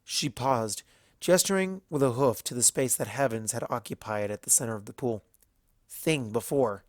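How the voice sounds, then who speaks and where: Audiobook narrator, medium pitch, scripted fiction reading, very little room sound. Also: moderate pace, no room sound, studio quality. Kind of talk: scripted story narration with character voices.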